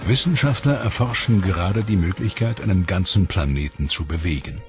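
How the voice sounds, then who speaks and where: A man reading scripted documentary narration in a measured, dramatic way. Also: low-pitched, expressive. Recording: voice-over with background music.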